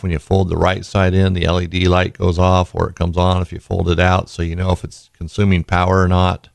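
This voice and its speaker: A hoarse man with a raspy voice, hosting a podcast in a chatty, rambling way. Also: low voice, no echo. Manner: chatty, rambling, with self-corrections. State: hoarse from illness. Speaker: podcast host. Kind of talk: casual product talk.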